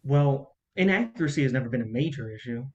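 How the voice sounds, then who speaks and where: A man playing a level-headed assistant to a studio boss in a staged play reading, calming and reasoning. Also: medium pitch, quick pace, highs cut off, a little hiss or hum, lightly acted. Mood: placating.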